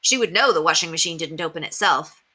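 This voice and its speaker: A woman narrates a children's book in an expressive, animated read-aloud style. Her voice sits a little low for a woman and has a bright, forceful edge. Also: fast talker. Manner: animated, acts out dialogue.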